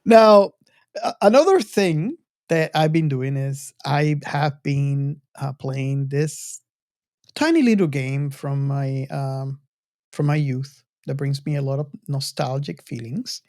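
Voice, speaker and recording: A man hosting a podcast in a casual, chatty style, talking in a halting, meandering way with emphatic sentence openings. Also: mid-range voice, animated, darkish tone, no echo, clean studio sound. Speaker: podcast host. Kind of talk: casual hobby chat.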